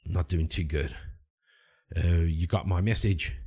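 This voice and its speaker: Man as a low-pitched, businesslike adventurer hero. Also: fairly even intonation, measured pace, darkish tone, husky, exaggerated voice. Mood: weary greeting. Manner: low-key, questioning. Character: terse, businesslike male adventurer hero on a mission.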